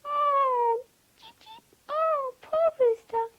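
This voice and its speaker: A woman's voice: extremely high pitch, very slow, lo-fi sound, pitched above the performer's own voice, full character voice. A woman voicing a tiny, eager young male chick in a children's story, chirping and exclaiming in short bursts. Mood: pitying.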